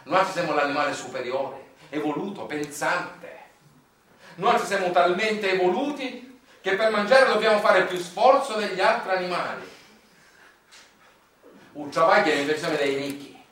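A man's voice: high voice, unhurried, fairly bright tone. An actor playing a wry, impassioned working-class Sicilian father in a theatrical monologue, declamatory and colloquial, with a Sicilian accent. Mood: declamatory, sardonic. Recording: echoey distant stage recording with music.

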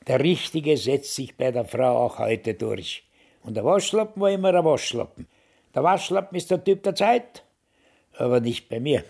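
Gravelly, husky, vain old man. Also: mid-range voice, lively intonation, normal speed, darkish tone. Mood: boastful. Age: older. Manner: self-important, defensive. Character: vain, stubborn, grumbling old man, defensive with his companion. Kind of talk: German radio drama dialogue.

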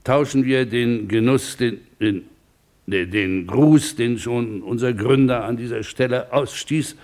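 An actor playing a pompous, blustering older club president and stern father in a 1950s German radio play, with an oratorical, gruff manner. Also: medium pitch, a little slow, a little mellow, natural voice. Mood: pompous, solemn.

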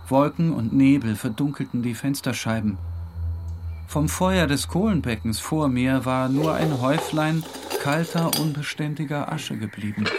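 A man playing a tormented, half-mad painter in a dramatic literary narration, speaking with slow, halting intensity. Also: medium pitch, a little hiss or hum. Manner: slow, halting, intense, dropping at times to whispers.

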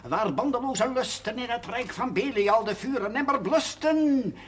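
A man's voice: extremely high pitch, animated, moderate pace. An actor playing an earnest amateur playwright who declaims his pompous religious verse in a 1970s television drama. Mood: grandiose. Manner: theatrical declaiming, hesitant between recitations. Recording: noisy 1970s television soundtrack.

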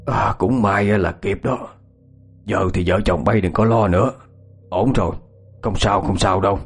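A man voicing an older village healer in a storytelling, gruff and commanding in a folksy way but kindly. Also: lowish voice, expressive, fairly quick, faint music behind, lightly acted. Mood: calm, reassuring. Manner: folksy, commanding, scolding elder.

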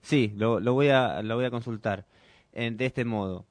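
A man's voice: medium pitch, normal speed, dry recording. A man with an Argentine accent hosting a radio interview, patiently rephrasing his questions for his guest. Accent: Argentine Spanish. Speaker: radio host. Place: radio studio.

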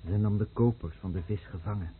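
A man's voice: on the low side, fairly quick, natural voice. An actor playing a bitter village fisherman sick from radiation, declaiming short stark statements in a solemn radio play. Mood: grave testimony. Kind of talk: solemn radio drama chorus acting. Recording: muffled noisy old radio play recording.

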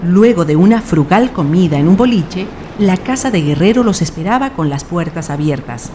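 Low-voiced, expressive woman narrator. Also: moderate pace, slight background noise. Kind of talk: scripted radio drama narration.

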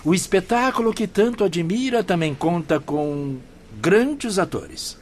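A man's voice: high voice, big pitch swings, measured pace, slight background noise. An actor playing a playful, polite male patient in a Brazilian Portuguese radio drama, with a lightly teasing, courteous manner.

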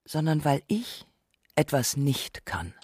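A narrator with delivering scripted radio feature text in a measured, articulate way. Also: mid-range voice, big pitch swings, darkish tone, husky, no echo, studio quality, heavily acted.

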